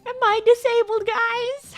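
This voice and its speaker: A young woman chatting casually on a livestream. She rambles, repeats and stumbles over words, and her voice sometimes jumps suddenly into high squeaks. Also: level tone, unhurried, a bit bright, dry recording. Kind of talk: casual stream chat. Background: faint background music.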